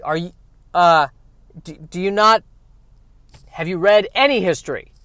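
A man's voice: sits high, unhurried, fairly bright tone, no echo, studio quality. A man playing an anxious, exasperated straight man who stammers sarcastically in a comic sci-fi radio play. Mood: incredulous. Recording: noisy recording.

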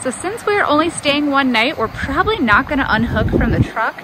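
A woman's voice: high-pitched, very animated, fairly quick, noisy background. A young woman chatting casually for a travel vlog, upbeat and explaining things as she goes. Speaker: RV travel vlogger. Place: outdoors at an RV park, then inside a pickup truck.

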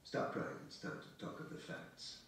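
A man's voice: normal speed, a bit bright, lightly acted. A man voicing a tormented, bitter and restless young man in a dramatic novel reading. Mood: bitter agitation. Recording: echoey, distant, faint background noise.

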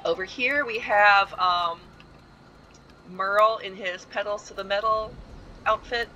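A woman's voice: mid-range voice, a little slow, pushed and bright, dull audio, a little hiss or hum. A woman giving a rambling, casual voice-over tour of a house built inside a video game, full of ums and side remarks. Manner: chatty and rambling, with frequent ums and asides.

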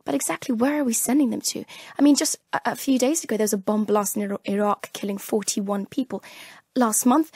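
A woman's voice: fairly high pitch, a little fast, a little mellow, slightly rough, no echo, clean studio sound. A woman journalist from Afghanistan speaking as a guest on a radio discussion, talking with urgent passion and repeating words as she presses her points.

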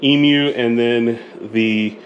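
Man in his forties, congested rough voice, folksy emu farmer, roomy small hatchery, humming air conditioner. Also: mid-range voice, steady tone, takes it slowly, muffled recording. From northern Florida. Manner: folksy, talkative, explains while demonstrating. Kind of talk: casual how-to farm video. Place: small hatchery room indoors. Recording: echoey small room, air conditioner hum.

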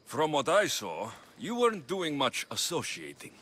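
An actor playing a blunt, skeptical Greek mercenary in video game dialogue, with a dry, challenging manner. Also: male voice, a little high, huge pitch swings, normal speed, fairly bright tone, slight background noise.

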